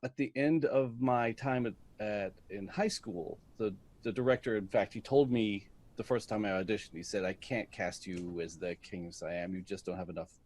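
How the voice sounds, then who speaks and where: A middle-aged American man telling stories about his life in a reflective interview, speaking in a deliberate, halting way. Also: fairly low pitch, a little mellow. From United States, Detroit area. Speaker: guest, stage actor.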